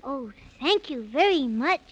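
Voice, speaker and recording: Polite six-year-old boy, timid yet eager, piping high voice, 1930s radio drama. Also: animated, a little slow, a bit bright. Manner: wide-eyed, very courteous. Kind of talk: 1930s children's radio serial acting.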